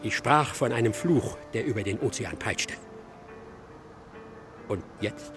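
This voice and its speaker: Theatrical, wide-ranging scholar over music. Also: male voice, fairly low pitch, expressive, slow pace. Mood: pedantic, self-important. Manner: theatrical, lecturing, insistent. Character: excitable, self-absorbed male scholar expounding his dark ghost-ship thesis. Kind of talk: German radio drama acting.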